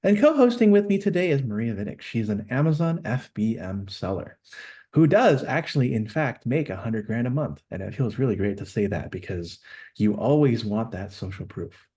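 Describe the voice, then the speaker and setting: A man's voice: mid-range voice, very animated, moderate pace, dark tone, husky. A man hosting and introducing a webinar in an upbeat, promotional manner. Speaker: host.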